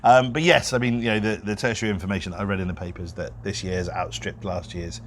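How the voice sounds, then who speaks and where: A man's voice: deep voice, steady tone, quick pace, slight background noise. A man co-hosting a property podcast in casual, unscripted chat, talking in a rambling, self-deprecating way. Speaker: podcast co-host, real estate broker.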